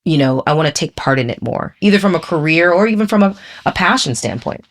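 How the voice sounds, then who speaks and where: A woman's voice: low-pitched, animated. Candid woman hosting a podcast. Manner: candid and blunt, with casual swearing and quick laughs. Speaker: podcast host. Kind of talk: casual podcast interview.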